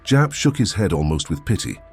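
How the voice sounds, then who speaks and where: A man narrates an audiobook in a deep, low voice. His pitch moves expressively through the scripted first-person storytelling. Quiet music sits behind the reading. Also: sing-song, brisk, vocal fry, breathy edge. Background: soft music.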